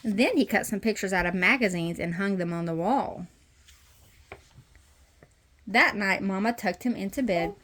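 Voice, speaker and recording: A woman reading a children's picture book aloud as a storytime host, with expressive storytelling. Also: mid-range voice, unhurried, long pauses. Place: messy playroom at home. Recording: noisy home room with clicks.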